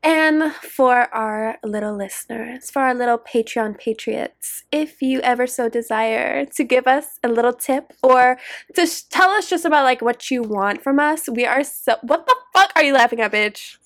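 Giggly high-voiced young woman co-host. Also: moderate pace. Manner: giggly, swears freely, playful teasing. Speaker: podcast co-host. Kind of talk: casual late-night chat.

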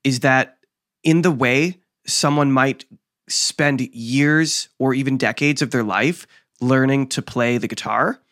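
A man who hosts the podcast and works as a recording engineer explains his craft in a measured, deliberate way. His voice sits at an ordinary male pitch. The sound is close and dry. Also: a bit bright, dry recording. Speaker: podcast host, mastering engineer. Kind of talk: casual podcast conversation.

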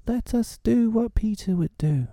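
A man's voice: lively intonation, unhurried, vocal fry, warm tone, no room sound, exaggerated voice. A man voicing a band of frightened young boys crying out together. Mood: panicky. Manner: panicky, high and pleading.

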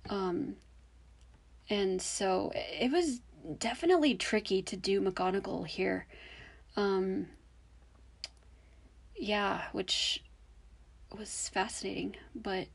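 A woman's voice: medium pitch, takes it slowly, gravelly, pauses often. A woman with a young-sounding voice hosting a podcast, musing hesitantly with many fillers. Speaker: podcast host. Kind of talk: casual solo podcast commentary.

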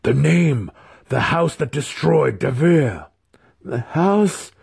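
A man's voice: fairly high pitch, slow, a little mellow, slightly rough, pitched above the performer's own voice, heavily acted. A man voicing the tormented, frantic spirit of a fallen priestess in a fantasy audiobook, shrieking and pleading. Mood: urgent, frantic.

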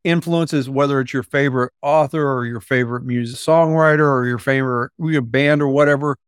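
A man's voice: medium pitch, fairly even intonation, a little fast, smooth, no echo, studio quality. A man hosting a casual interview, thinking aloud and rephrasing his questions as he goes. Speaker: interview host.

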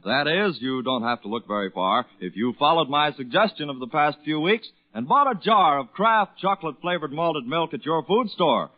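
A man's voice: fairly high pitch, animated, normal speed, hardly any pauses. A man with an American accent announcing on 1940s radio, reading a scripted advert with polished, emphatic salesmanship. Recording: dull lo-fi 1940s radio broadcast recording.

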